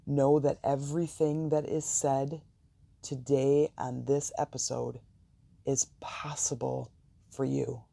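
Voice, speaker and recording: Slow, very dark gravelly guided meditation voice. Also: mid-range voice, dry recording. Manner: slow, calm, soothing, deliberate pauses.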